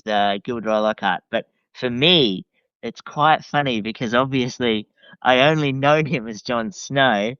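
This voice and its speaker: An Australian man chatting casually as a podcast guest, enthusiastic but hesitant and halting in his phrasing. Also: medium pitch, fairly bright tone, no echo, muffled recording. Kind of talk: casual chat.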